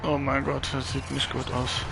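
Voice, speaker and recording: A man's voice: medium pitch, completely flat delivery, moderate pace, vocal fry, music in the background. A man from Germany live-streaming a football video game, chatting casually and rambling as he answers viewers.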